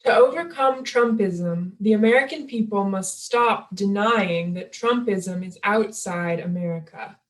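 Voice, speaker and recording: Woman reading an essay aloud, average pitch, sounds a bit far from the mic. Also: unhurried, hardly any pauses. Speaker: reader at a table reading. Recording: distant from the microphone.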